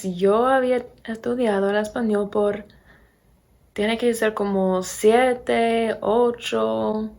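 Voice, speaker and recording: Slow, halting American woman speaking Spanish. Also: medium pitch, vocal fry, darkish tone. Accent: American English accent in Spanish. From Pennsylvania, USA. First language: English. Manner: halting, searching for words, with little laughs. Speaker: vlogger and English teacher. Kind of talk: casual chat to camera.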